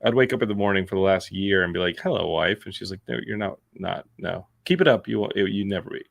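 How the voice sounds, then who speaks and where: A man's voice: deep voice, fast, creaky, no echo, clean studio sound. A middle-aged man hosting a casual chat show, rambling and jokey as he reads viewer comments aloud. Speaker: podcast host.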